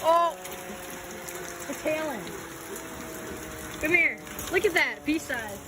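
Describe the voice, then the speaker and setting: A woman's voice: sits high, animated, slow. A woman reacting spontaneously on an amateur home video, exclaiming in amazement. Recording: noisy amateur storm clip.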